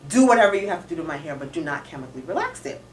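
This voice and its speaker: A woman's voice: sits low, racing. A woman co-hosting a casual talk show, recapping a news story and arguing with her co-host. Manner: lively, argumentative, corrects her co-host. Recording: echoey room, distant mic, faint noise.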